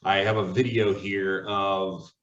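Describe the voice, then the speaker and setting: Male host, lowish voice, casual hosting, dull distant-mic sound in an echoey room. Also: level tone, takes it slowly, fairly bright tone. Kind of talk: casual talk show hosting.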